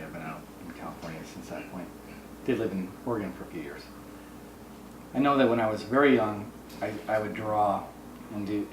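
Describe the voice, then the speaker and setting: An American man from the Midwest talking casually and personally about himself and his views. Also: deep voice. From US Midwest, Minnesota. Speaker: artist. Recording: echoey, noisy room, distant mic.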